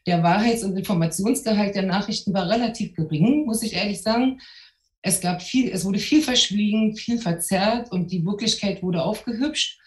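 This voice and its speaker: A woman's voice: medium pitch, moderate pace. A middle-aged woman from East Berlin, a journalist guest, telling her story thoughtfully with frequent hesitations. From East Berlin, Germany. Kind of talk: personal account on a panel. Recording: echoey room.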